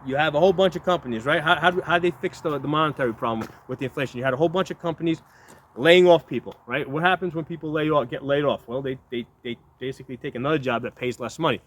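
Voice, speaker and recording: A man's voice: on the high side, fast talker, fairly bright tone, a little hiss or hum. Blunt rambling man in his fifties. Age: 50s. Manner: rambling, repeats words and restarts often; blunt, keeps asking "right?". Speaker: video host. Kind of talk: casual talk to camera.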